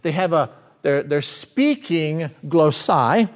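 A man. He swings between quick bursts and slow, stressed words, now loud, now dropping low. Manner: animated preaching with sudden swings in pace and loudness. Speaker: pastor. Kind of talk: sermon teaching on scripture.

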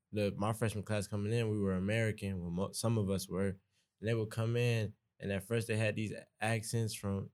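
A young man from East Atlanta with a Southern Atlanta accent, a college student talking earnestly in a relaxed podcast chat. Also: on the low side. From East Atlanta, Georgia. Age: young adult. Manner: relaxed, earnest, conversational, dialect grammar. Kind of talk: casual podcast conversation.

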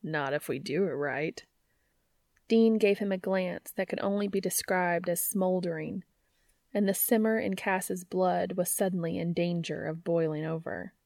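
A woman's voice: lowish voice, steady tone, moderate pace, a little mellow, dry recording, clean studio sound. A woman reading scripted fiction aloud as a story narrator.

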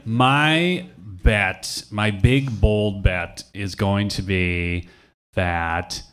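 A man's voice: fairly low pitch, drawn-out words, clean tone. A man co-hosting a movie podcast on stage before a live audience, chatting playfully and teasing his co-hosts. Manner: playful, teasing, animated delivery. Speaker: podcast co-host. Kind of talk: casual movie chat at a live show.

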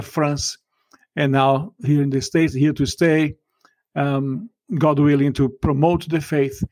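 A man's voice: high voice, darkish tone, dry recording. A man from Brazil with a Brazilian Portuguese accent, a guest on a podcast interview who speaks in an emphatic, fervent preaching tone and often restarts his sentences.

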